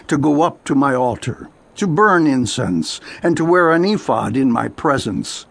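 A stern prophet of judgment, declaims gravely and very slowly. His gravelly voice sits a little high, over music, in a dramatized scripture audiobook. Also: heavily acted.

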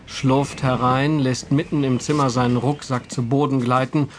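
Casting: man as audio drama narrator, measured wry delivery, middle register. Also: medium pitch, level tone, background noise.

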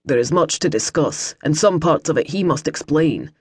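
A woman voicing a stern, measured nobleman in an audiobook, with a husky, formal delivery. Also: low voice, animated, dry recording, studio quality, heavily acted. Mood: measured, firm. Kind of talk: audiobook character voice.

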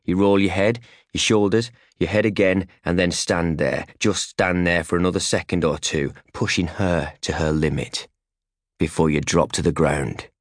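A man's voice: deep voice, steady tone, normal speed, dry recording, clean studio sound. A man reading scripted fiction as an audiobook narrator, in terse, clipped phrases. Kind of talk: scripted first-hand fiction narration in second person. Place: recording studio.

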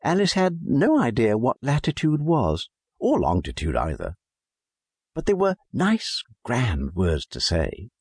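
A man narrating a children's storybook as a scripted audiobook, in an expressive storytelling manner. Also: medium pitch, unhurried, breathy edge, dry recording, studio quality.

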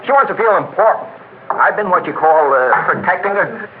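An actor playing a nervous, deferential bartender, hesitant and appeasing, in a 1960s radio drama. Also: male voice, high-pitched, animated, normal speed. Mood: uneasy, deferential. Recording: tinny old radio broadcast.

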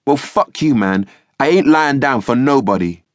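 A man voicing a defiant teenage rude boy in police custody, shouting and swearing. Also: mid-range voice, animated, moderate pace, dry recording, studio quality, pitched above the performer's own voice, close to an everyday voice.

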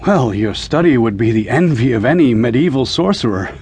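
An actor playing an educated, uneasy assistant in a radio drama, with formal, literate speech that turns hesitant. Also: male voice, mid-range voice, lively intonation, fairly quick, darkish tone, slightly rough. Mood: awed. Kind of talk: radio drama acting and first-person narration.

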